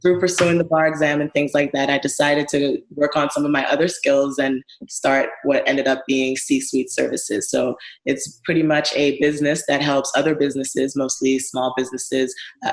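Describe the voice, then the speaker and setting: A young woman from Ghana, a guest business owner telling her story in a relaxed, friendly way, laughing easily. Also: rumbling low. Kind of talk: casual interview conversation. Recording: echoey room sound.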